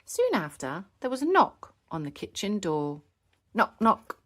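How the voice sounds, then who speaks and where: A woman narrating a children's storybook in an animated, scripted style with expressive emphasis. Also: medium pitch, moderate pace.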